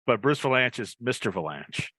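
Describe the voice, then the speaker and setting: A man's voice: medium pitch, animated, moderate pace, a bit bright, slightly rough, dry recording, low-quality recording. A man hosting a podcast, chatting casually as he interviews a guest. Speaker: podcast host.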